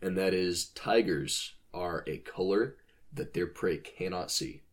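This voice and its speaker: A man's voice: deep voice, slow pace. A man co-hosting a podcast, explaining his ideas in casual chat with playful, dry humor. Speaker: podcast co-host.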